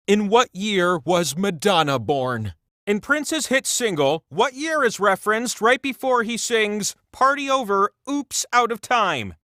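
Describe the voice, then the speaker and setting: Animated, high-voiced talking game-show wheel. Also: male voice, dry recording, studio quality. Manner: playful, theatrical, wry asides. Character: talking game-show wheel, cheeky, self-amused, eccentric host. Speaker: trivia game host. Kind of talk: scripted comedic quiz narration.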